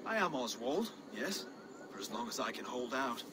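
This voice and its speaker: A man's voice: mid-range voice, sing-song, moderate pace, noisy background, close to an everyday voice. An actor playing an earnest, courteous, mild-mannered Saxon nobleman in a video game cutscene. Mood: uneasy, polite. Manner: courteous, earnest, soft-hearted.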